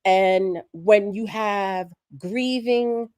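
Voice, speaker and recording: A hoarse woman, sick with a respiratory virus, making earnest, emphatic points as a guest on a livestream conversation. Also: mid-range voice, drawn-out words, a little mellow, dry recording, studio quality. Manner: earnest, emphatic, building points through repetition. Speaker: guest commentator.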